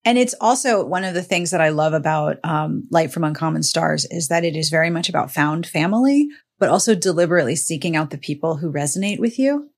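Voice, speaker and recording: A woman's voice: deep voice, lively intonation, fairly quick, hardly any pauses. A woman hosting a podcast, chatting casually about books in an emphatic, playfully declarative way. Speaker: podcast host. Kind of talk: casual book chat on a podcast.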